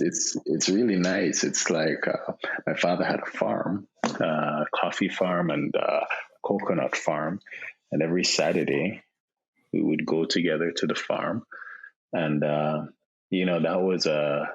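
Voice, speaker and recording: Deep husky Jamaican man. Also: normal speed. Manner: relaxed, repeats phrases, many fillers. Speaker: podcast guest. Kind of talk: casual interview chat. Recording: dull lo-fi sound.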